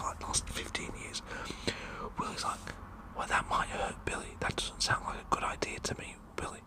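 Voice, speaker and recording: A British man whispering a rambling, jokey, blokey ASMR roleplay monologue. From United Kingdom. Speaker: ASMR performer.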